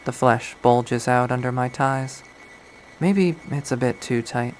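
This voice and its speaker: A man reading a visual novel aloud as dramatic first-person narration, in a measured way. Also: mid-range voice. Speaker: game player reading aloud. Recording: faint background noise.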